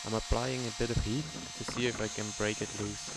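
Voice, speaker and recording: A man's voice: on the low side, little pitch movement, a little fast. A man giving casual workshop commentary as the host of a repair vlog. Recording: clear background noise, mechanical clicks.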